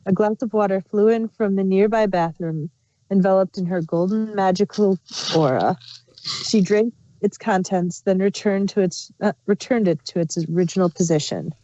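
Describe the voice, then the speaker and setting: A woman's voice: mid-range voice, moderate pace, dark tone. A woman narrating fan fiction as an amateur story reader. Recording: muffled, roomy home recording.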